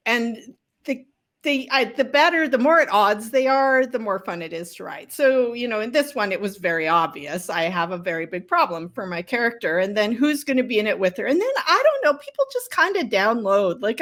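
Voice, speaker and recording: A woman's voice: a little high, fairly bright tone. A woman author being interviewed, telling stories about her writing in a casual, candid and informal way with self-deprecating humour.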